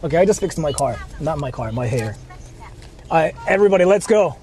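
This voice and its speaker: Lively high-pitched Japanese-accented male streamer. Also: fairly quick. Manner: repeats himself, slangy. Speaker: livestreamer. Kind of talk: casual livestream chat. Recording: faint vehicle noise on live stream.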